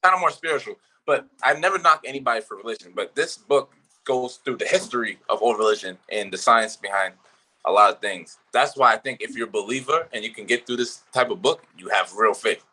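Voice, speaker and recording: A man's voice: medium pitch, fairly quick, bright, forceful tone, slightly rough, poor audio. A man from Barbados, talking loosely and reflectively as a podcast co-host. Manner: loose, reflective, swearing freely. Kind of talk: casual chat.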